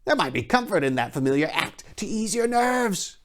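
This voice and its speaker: Evil leering cult priest, high taunting voice, stretches words out, very theatrical storybook villain. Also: male voice, very animated, fairly bright tone. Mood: mocking menace. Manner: mocking, theatrical, drawn-out taunting. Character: leering, menacing high priest of a dark god.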